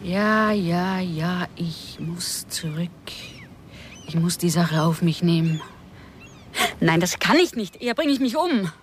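An actress playing an anxious, defiant runaway wife in a radio drama, speaking in an agitated, aggrieved way. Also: female voice, fairly low pitch, animated, slow pace, slight background noise. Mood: desperate.

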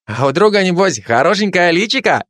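A man's voice: high-pitched, sing-song, brisk, a bit bright, no echo, clean studio sound, voice pushed up. A man voicing a playful Kazakh soldier who jokes with his comrades. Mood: sly. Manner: playful, sly. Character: joking Kazakh soldier nicknamed Chinaman.

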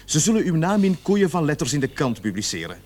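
A stern police sergeant in a radio play. He speaks formally and with authority, weighing his words, and his pitch swings widely as he questions and warns. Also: sing-song, a little mellow. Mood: warning. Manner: authoritative, measured, formal interrogator. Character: stern police sergeant leading a murder investigation.

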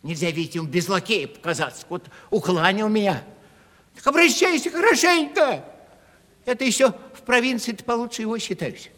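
Clownish provincial player, very high voice, boastful, grumbling, theatrical, reverberant old radio play. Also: male voice, animated, fairly quick, bright, forceful tone. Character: clownish provincial comic actor, grumbling, boastful, cowardly rogue. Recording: reverberant 1970s radio play studio recording.

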